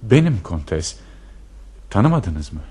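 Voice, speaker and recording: An actor playing a nervous, hopeful, lovestruck army lieutenant in a theatrical radio drama style. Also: male voice, lowish voice, big pitch swings, warm tone, slightly rough, exaggerated voice. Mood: polite, earnest. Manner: theatrical, murmuring to himself, brisk when giving orders.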